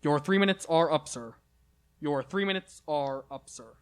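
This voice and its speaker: A man playing a brisk, impersonal long-distance telephone operator in a radio play. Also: on the high side, close to an everyday voice. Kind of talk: old-time radio play acting.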